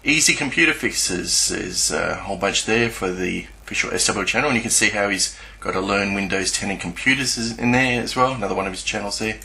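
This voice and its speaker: A man's voice: on the low side, fairly quick, vocal fry, hardly any pauses, pushed and bright. A man giving an unscripted screen walkthrough, hesitant and often searching for words as he goes. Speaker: YouTuber presenting a screen walkthrough. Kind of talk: unscripted screen-share commentary.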